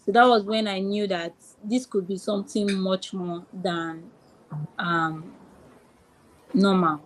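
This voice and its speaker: A woman's voice: mid-range voice, steady tone, slow pace, rough recording. A young woman from Nigeria with a Nigerian accent, a podcast guest talking casually, who repeats her phrases and restarts often. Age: young adult. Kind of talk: podcast interview.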